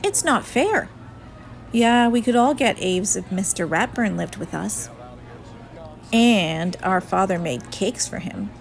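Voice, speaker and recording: Female reader as teasing schoolchild, cheeky storybook classmate, very lively intonation. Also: mid-range voice, slow, creaky. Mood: cheeky, envious. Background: music.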